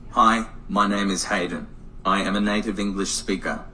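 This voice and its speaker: A text-to-speech man's voice reading demo lines in a monotone synthetic way. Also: fairly low pitch, moderate pace, a little hiss or hum.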